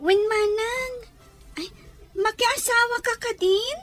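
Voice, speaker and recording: A woman's voice: very high-pitched, takes it slowly, fairly bright tone, soft background music, full character voice. A woman playing a young, tearful schoolgirl in a radio-style drama, anxious about her older sister. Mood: anxious, pleading. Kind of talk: Ilocano radio drama acting.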